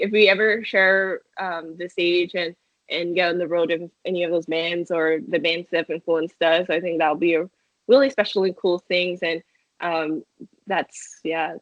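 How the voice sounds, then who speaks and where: A woman's voice: on the low side, steady tone, measured pace, no echo. Chatty woman from Malaysia, dull sound. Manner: chatty, many fillers and restarts, laughs often. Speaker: metal band singer. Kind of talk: casual interview answers.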